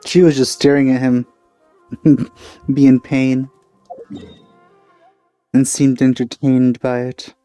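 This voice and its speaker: A man's voice: medium pitch, level tone, slow, pauses often, mellow, dry recording, faint music behind. A man giving casual commentary while he plays a video game. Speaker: video game let's player.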